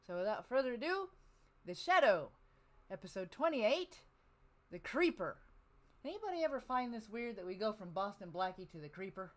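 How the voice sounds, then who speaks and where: A woman's voice: mid-range voice, big pitch swings, moderate pace. A woman hosting an online show in a casual, chatty style. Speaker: host of online radio listening show.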